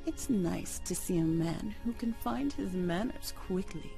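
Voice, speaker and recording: A seductive female demon from a visual novel, acted by a woman, speaks in a low, creaky voice. She is sultry and teasing, measuring each phrase with patient, lonely allure over music. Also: animated, unhurried, dark tone. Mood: coolly teasing.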